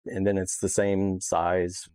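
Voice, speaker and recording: Low-voiced man, casual and rambling. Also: little pitch movement, slow pace, mellow, smooth, no echo, studio quality. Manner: rambling, informal, peppered with "you know". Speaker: podcast guest. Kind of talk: casual unscripted interview talk.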